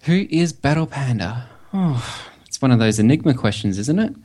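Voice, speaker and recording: A man's voice: medium pitch, animated, moderate pace, warm tone. A man with an Australian accent, talking casually as a guest on a podcast. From Perth, Western Australia. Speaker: podcast guest, website developer. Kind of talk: casual interview chat.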